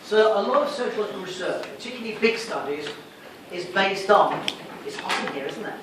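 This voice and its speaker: A man's voice: high voice, lively intonation, brisk. A man giving a classroom revision lecture, explaining in a didactic way and answering his own questions. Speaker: sociology lecturer. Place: lecture room, recorded from a distance. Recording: echoey room, distant mic, noisy.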